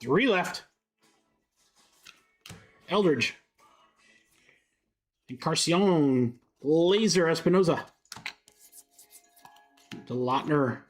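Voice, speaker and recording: A man's voice: a little high, lively intonation, extremely slow, long pauses, faint music behind. A congested young man with a head cold, chatting upbeat on a live stream while he rattles off cards. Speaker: card break streamer. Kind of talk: live-stream card break commentary.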